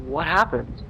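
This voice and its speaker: A man's voice: a little high, noisy background, full character voice. A man playing an easygoing young mechanic and former racer in an amateur radio play. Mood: shocked.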